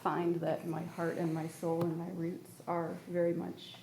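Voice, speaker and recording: Woman from Michigan, low and gravelly, earnest storyteller who wanders and pads with fillers; mellow tone in an echoey room. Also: completely flat delivery, measured pace, a little hiss or hum. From Michigan, United States. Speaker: panel speaker. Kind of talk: personal storytelling in a group conversation.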